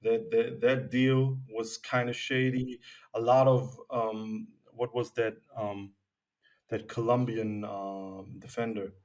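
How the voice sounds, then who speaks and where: A man's voice: medium pitch, little pitch movement, takes it slowly, a little mellow, recorded from a distance. A man co-hosting a casual sports podcast, speaking bluntly with many hesitations and restarts. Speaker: podcast co-host.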